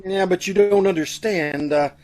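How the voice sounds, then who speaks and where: A man playing a nervous, excitable bridegroom, flustered and pleading in old-time radio comedy style. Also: high voice, moderate pace, lightly acted.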